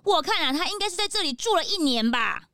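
A woman playing an excitable, outspoken young detective's sidekick in a children's audio drama, cute, dramatic and exclamatory. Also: extremely high pitch, fast, no echo, studio quality, exaggerated voice. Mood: shocked, indignant. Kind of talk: children's radio drama acting.

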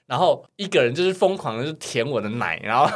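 A man's voice: medium pitch, animated, fast talker, a little hiss or hum. A man in his thirties with a Taiwanese Mandarin accent, chatting candidly and crudely as a podcast guest telling personal stories. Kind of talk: casual chat, personal storytelling.

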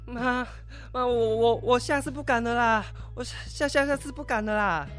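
A woman's voice: a little high, normal speed, soft background music, natural voice. A woman playing a nervous schoolboy who is afraid of his strict mother, in an amateur audio drama. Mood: frightened, pleading. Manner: stammering, pleading when cornered.

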